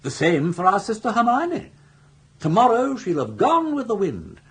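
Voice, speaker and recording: An actor playing a suave, roguish older gentleman with an upper-class English accent, speaking in flowery, persuasive phrases in a British radio comedy. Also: sits high, huge pitch swings, normal speed, slightly rough, full character voice. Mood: coaxing.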